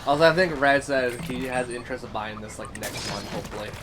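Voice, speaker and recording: A man's voice: medium pitch, fast, very noisy. A young man from Canada streaming a game, talking casually in a chatty, upbeat way with lots of restarts. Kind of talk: casual chat over gameplay. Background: loud game music.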